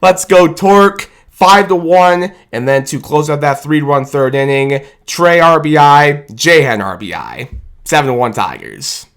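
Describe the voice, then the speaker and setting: A man with a hoarse, rough voice, hyping up his team's win in a fan reaction vlog, shouting and drawling his cheers. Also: a little high, lively intonation, measured pace. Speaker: sports fan video host.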